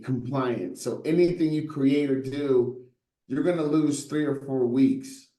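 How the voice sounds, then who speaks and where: Animated storytelling man, mellow tone. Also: medium pitch, unhurried, echoey room, poor audio. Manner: animated storyteller, rhetorical "right?" and asides. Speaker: guest, brand designer. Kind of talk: casual interview chat.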